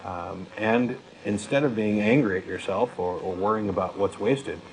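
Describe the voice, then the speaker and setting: Low-voiced man in earnest discussion, noisy recording. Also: moderate pace. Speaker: co-host of a talk show. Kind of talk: conversational discussion, personal reflection. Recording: clear background noise, room ambience.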